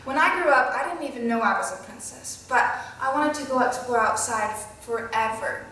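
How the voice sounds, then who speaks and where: A woman's voice: a little high, animated, normal speed, bright, forceful tone. A woman playing a cheerful young fairytale princess who explains things simply and kindly to children. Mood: friendly, upbeat. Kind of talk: scripted children's educational presentation in character. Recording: very echoey room, distant mic, music behind.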